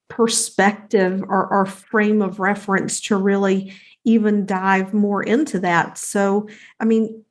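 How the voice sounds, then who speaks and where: A woman hosts an interview podcast, introducing her guest and then handing over with open questions. She talks in a relaxed conversational way at a middle pitch. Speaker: podcast host. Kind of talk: interview hosting.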